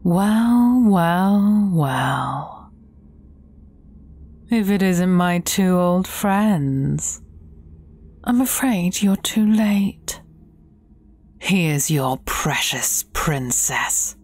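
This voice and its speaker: A woman playing a menacing, gloating evil fairy sorceress in a fairy-tale audio drama, taunting deliberately and often dropping near a whisper. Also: fairly low pitch, lively intonation, a little mellow, husky, heavily acted. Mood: smug gloating.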